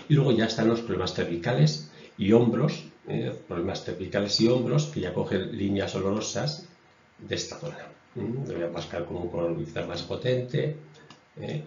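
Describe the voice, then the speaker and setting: Man, sixties, low-pitched, measured lecturer, repeats phrases, webcam at desk, echoey room. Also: a little mellow. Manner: measured, repeats key phrases, frequent "eh" fillers. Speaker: naturopath and doctor giving a talk. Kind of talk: explanatory lecture while drawing on a diagram. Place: indoors in front of a stone wall. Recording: roomy echo, dull lo-fi sound.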